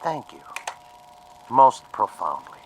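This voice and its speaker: An actor playing a formal, exacting official who questions deliberately and ceremoniously in a radio drama. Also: male voice, mid-range voice, sing-song, slight background noise. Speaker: official presiding over a demonstration.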